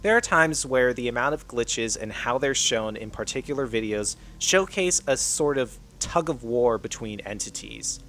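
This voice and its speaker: A man with a mid-pitched voice delivers scripted video essay narration. He explains things as an online commentator would, with faint ambient noise behind his voice. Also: animated, moderate pace, hardly any pauses, a bit bright.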